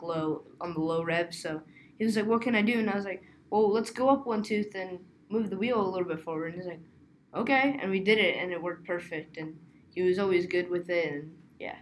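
A man's voice: sits high, fairly quick, slight background noise. A young man talking as a podcast guest in a laid-back, rambling way with fillers. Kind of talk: casual podcast chat.

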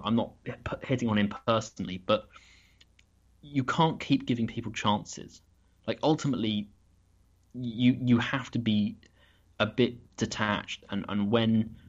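A British man with a lowish voice talks through his opinions in a casual podcast. He often stumbles and repeats words as he goes. The audio sounds dull and lo-fi. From Britain. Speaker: podcast guest, football analyst.

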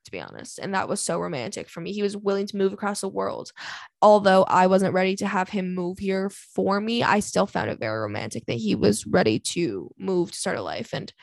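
A woman's voice: mid-range voice, creaky, dry recording. A woman in her twenties from Canada, chatting candidly and rambling in a casual vlog, full of "like" and "um". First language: English. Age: 20s. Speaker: vlogger answering viewer questions. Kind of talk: casual chat.

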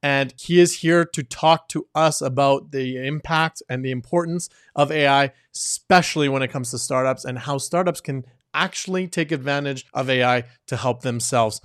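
A man's voice: medium pitch, normal speed, few pauses, fairly bright tone, clear, resonant tone, dry recording, clean studio sound. A man hosting a startup podcast, introducing his guest with upbeat enthusiasm. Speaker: podcast host. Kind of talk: podcast interview.

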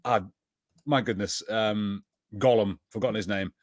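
A man's voice: on the low side, fairly even intonation, moderate pace, no room sound, clean studio sound. A man co-hosting a podcast, chatting casually and enthusiastically in a review. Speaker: podcast co-host.

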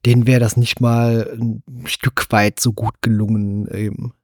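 A man's voice: lowish voice, level tone, a little slow, darkish tone, no echo, clean studio sound. A man hosting a podcast, discussing films casually in slightly hesitant, meandering sentences. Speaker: podcast host.

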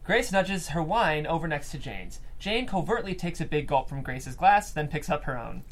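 Casting: female script narrator, low register, highly expressive intonation, live-read feel. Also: moderate pace. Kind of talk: reading stage directions aloud at a table read. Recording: faint room noise behind voice.

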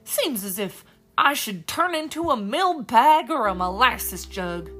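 A woman voicing a restless, greedy boy who complains loudly and drags out his words. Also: a little high, sing-song, a little slow, faint music behind. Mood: grumbling.

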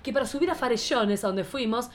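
A woman with a fairly high voice and an Argentine accent hosts a casual podcast. She talks in a theatrical, exclamatory drag queen way, keeping the chat playful. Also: animated, a little fast. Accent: Argentine Spanish.

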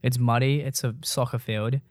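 Young man, laid-back storyteller, mid-pitched, dry close recording. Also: normal speed, dry recording. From Iceland. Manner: relaxed, laughs while telling stories. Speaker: co-host, runner. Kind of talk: casual chat.

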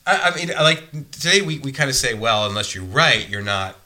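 A man speaks in a very bright, forceful tone. He chats casually and thoughtfully about working with bands in the studio. He often stops to restart his sentences. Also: mid-range voice, expressive, brisk. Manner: thoughtful, hesitant, many restarts and fillers. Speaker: music producer. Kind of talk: casual conversation.

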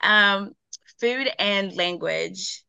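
Woman, 20s, from Nigeria, podcast guest, chatty, laughs often, bright tone, dry close sound. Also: medium pitch, extremely slow, vocal fry, clean tone, no room sound. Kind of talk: casual chat.

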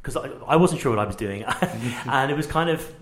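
A man hosting a casual radio interview, asking informal, slightly halting questions. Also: medium pitch, expressive, fairly bright tone. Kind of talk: casual chat.

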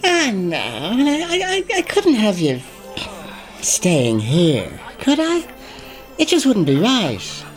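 Radio comedy horror: amiable, tipsy old Irish puka rabbit, rambling and repeating himself, very lively intonation. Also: male voice, high-pitched, takes it slowly, soft background music, heavily acted. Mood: sheepish, easygoing. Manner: hesitant, rambling, repeats words. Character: tipsy, amiable old man turned giant rabbit spirit. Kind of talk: comic horror radio play acting.

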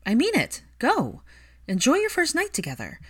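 Woman acting bright insistent young mother hostess. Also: sits high, huge pitch swings, moderate pace, no echo, clean studio sound, voice pushed up. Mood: playfully insistent.

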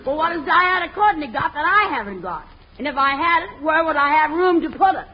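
A woman playing a brash, pushy matron and would-be opera singer in a 1940s radio comedy, shrill and hollering. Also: expressive, a little fast. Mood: huffy. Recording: muffled 1940s radio broadcast.

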